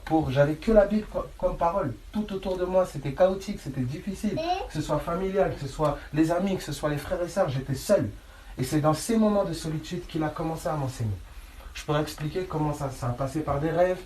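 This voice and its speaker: A man's voice: a little high, brisk, darkish tone. A man preaching informally, insistent and colloquial, repeating phrases and often checking that listeners follow. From France. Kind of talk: informal sermon, Bible teaching. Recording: echoey room, clear background noise.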